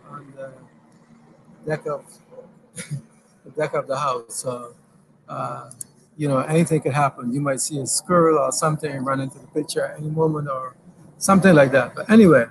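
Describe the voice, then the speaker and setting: A man's voice: fairly high pitch, fairly even intonation, moderate pace, long pauses, slight background noise, rough recording. Barbadian man telling his story outdoors. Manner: hesitant, many "uh" fillers and restarts. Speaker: guest. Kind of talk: relaxed interview storytelling. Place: backyard deck outdoors. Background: passing plane and outdoor ambience.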